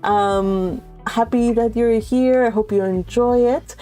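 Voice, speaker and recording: A woman's voice: fairly high pitch, fairly even intonation, takes it slowly, dark tone, soft background music. A woman hosting a live read-along, chatting casually and affectionately with her viewers, giggly and informal. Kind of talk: casual livestream chat with viewers.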